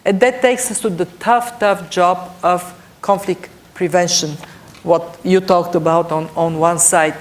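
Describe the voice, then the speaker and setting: A middle-aged woman from Bulgaria, speaking English with a Bulgarian accent. She is a panelist making deliberate points and stressing key words. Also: lowish voice, steady tone. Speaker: panelist, European budget official. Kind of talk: panel discussion remarks.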